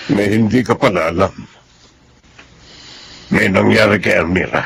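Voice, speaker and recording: A stern middle-aged uncle in a Filipino radio drama. His voice is low, with a Filipino accent and an advising manner, over faint noise. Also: a little slow, vocal fry, a bit bright, heavily acted.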